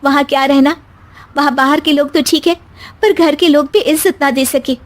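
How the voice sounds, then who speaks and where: A woman's voice: sits high, steady tone, normal speed, voice pushed up, natural voice. A woman voicing an outspoken, educated young daughter-in-law in a cartoon story, emphatic and protesting. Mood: hurt, defiant. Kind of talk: animated Hindi story dialogue.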